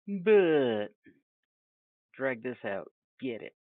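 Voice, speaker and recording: A man giving a casual, off-the-cuff software tutorial, rambling along with chatty, self-amused asides. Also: medium pitch, big pitch swings, drawn-out words, no room sound, phone-quality sound. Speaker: tutorial presenter.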